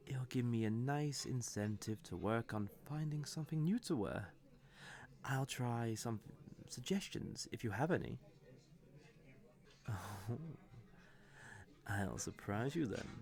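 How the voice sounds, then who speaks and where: Villain for roleplay audio drama, male actor: smug, flirty, teasing male enemy, gravelly, takes his time. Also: medium pitch, darkish tone. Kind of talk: scripted roleplay audio drama, addressed to the listener.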